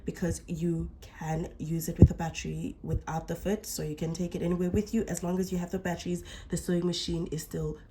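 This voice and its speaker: A woman's voice: on the low side, level tone, brisk, hardly any pauses, very noisy. A young woman hosting a casual sewing tutorial, chatty and correcting herself, a little unwell with a coming flu. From South Africa. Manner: chatty, self-correcting explainer. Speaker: sewing channel host.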